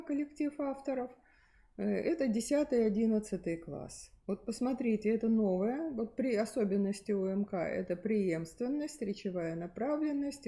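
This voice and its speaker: A middle-aged woman talks through a set of textbooks for a room of teachers, thinking aloud and pausing on fillers as she goes. Her voice is mellow and warm, sitting at an ordinary middle pitch. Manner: hesitant with many fillers and restarts, explanatory. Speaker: presenter at a teachers' methods meeting. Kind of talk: spontaneous presentation of textbooks.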